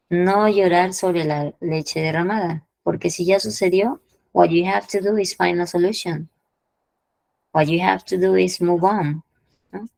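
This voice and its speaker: A woman's voice: on the low side, vocal fry, no echo, poor audio. A woman teaching English idioms in an online class, explaining patiently, repeating phrases and checking in with her students. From Peru.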